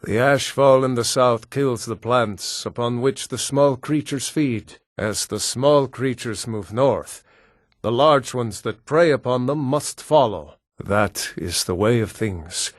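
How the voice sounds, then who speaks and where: An actor playing a proud, solemn Nord hunter and warrior in a video game, speaking in a measured, formal way. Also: male voice, medium pitch, dry recording, studio quality, lightly acted. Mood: earnest, measured. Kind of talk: scripted video game character dialogue.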